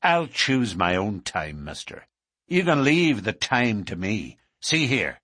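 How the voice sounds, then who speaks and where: A young male villain from a storybook, acted by a male reader. He is savage and domineering, with a husky, lively-pitched voice and a curt, menacing delivery. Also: slow, no echo, clean studio sound, heavily acted. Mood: cold menace.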